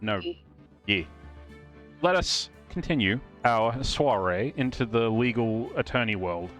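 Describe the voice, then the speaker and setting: A man's voice: medium pitch, lively intonation, a little slow, fairly bright tone, faint music behind. A man hosting a live stream, chatting casually with a joking, rambling manner. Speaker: stream host.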